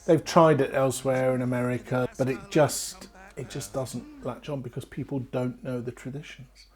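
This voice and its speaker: A man's voice: mid-range voice, steady tone, moderate pace. A middle-aged British man talking animatedly in an interview about his writing work, slipping into acted-out asides. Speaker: pantomime writer and actor.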